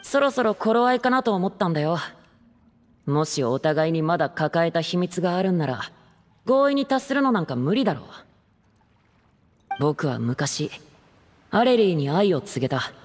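An actor playing an earnest, heartbroken young stage playwright in a Japanese game, speaking in a measured, confessional way. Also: male voice, sits high, very animated, in a large hall, a little hiss or hum. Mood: resolute.

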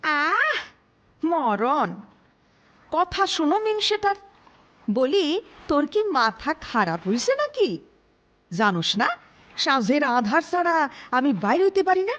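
Audio drama: actress plays young village sweetheart, mock-scolding and coy, high, unhurried, very animated. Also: female voice, exaggerated voice. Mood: teasing scolding.

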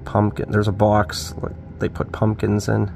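Low-voiced man narrating a handheld walkaround. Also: completely flat delivery, measured pace, gravelly, noisy background. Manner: rambling, thinks aloud with fillers. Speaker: urban exploring vlogger. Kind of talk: casual walking commentary. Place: outdoors around an abandoned store. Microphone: handheld phone camera.

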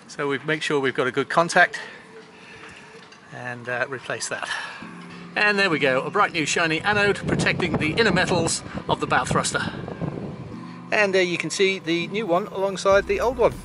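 A man's voice: fairly high pitch, moderate pace, noisy background. A man, narrating his own boat maintenance vlog in a chatty, step-by-step way, filmed on a handheld camera. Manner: chatty, matter-of-fact, explaining boat jobs step by step. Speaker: sailing vlogger. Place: on a sailboat and beside its hull in a boatyard. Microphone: handheld action camera.

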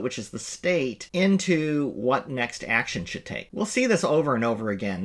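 A middle-aged man talks through code as a course instructor. He is relaxed and explanatory, often restarting a phrase mid-thought. He records at a desk in a home office. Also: mid-range voice. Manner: explanatory, self-correcting, relaxed. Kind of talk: screen-recorded coding lecture.

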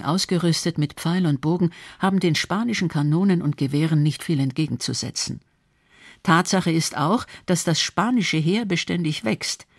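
A woman's voice: lowish voice, dry recording, clean studio sound. A woman reading scripted documentary narration.

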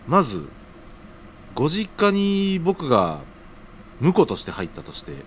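Man playing earnest young man, humble junior, deferential casual speech, animated pitch, audio drama, faint background noise. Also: measured pace. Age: young adult.

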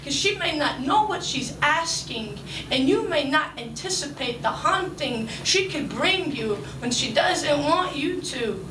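A woman's voice: high-pitched, expressive, unhurried. Young woman doing spoken word on a club mic, rhythmic and emphatic, echoey live room. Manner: rhythmic, emphatic poetic delivery. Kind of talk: spoken word poetry performance mixed with interview. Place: small club stage under red lights. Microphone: stage mic on stand. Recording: roomy live sound from a distance, noisy.

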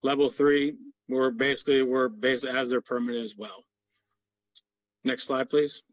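A man's voice: mid-range voice, fairly even intonation, normal speed, dry recording. A middle-aged man giving an explanatory, businesslike slide presentation as a parks maintenance official on a video call. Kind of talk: slide presentation to a board meeting.

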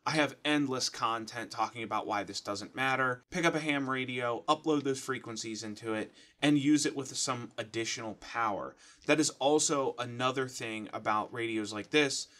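A man's voice: mid-range voice, moderate pace, hardly any pauses, bright, forceful tone. American man explaining things casually. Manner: plain-spoken, instructive. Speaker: host of an emergency radio how-to channel. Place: small furnished room at home, indoors.

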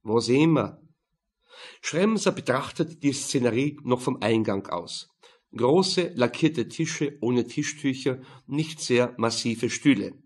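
A man's voice: medium pitch, animated, slow, slightly rough. Man narrating a crime audiobook. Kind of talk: scripted narration of a crime novel, with acted dialogue.

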